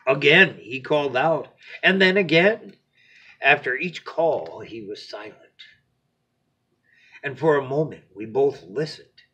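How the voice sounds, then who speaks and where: A man reads a children's picture book aloud as a bedtime story, expressively, with dramatic pauses and phrases that drop to a hush. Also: medium pitch, expressive, breathy edge, muffled recording.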